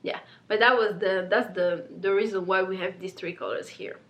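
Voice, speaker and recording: A woman's voice: mid-range voice, steady tone, normal speed. A woman, chatting about her makeup palette in a casual, filler-heavy way, seated close to the camera indoors. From Congo. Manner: chatty and warm, many fillers like "like" and "um". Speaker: beauty brand owner presenting her palette. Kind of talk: casual explanatory talk to camera. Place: indoor studio with plain pink backdrop.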